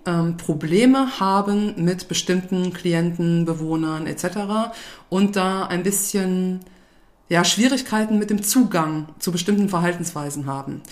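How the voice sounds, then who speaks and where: A woman in her forties speaks in a lowish voice as an interview guest. She talks in a relaxed, chatty way and laughs easily. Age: 40s. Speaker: guest, de-escalation trainer. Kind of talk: casual interview chat.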